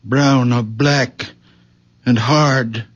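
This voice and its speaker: An actor playing a very old man, a humble healer with visions, who speaks haltingly and repeats himself in a radio drama. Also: medium pitch, fairly even intonation. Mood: hushed wonder. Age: elderly. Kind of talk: 1970s radio drama acting. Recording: muffled, noisy old radio broadcast recording.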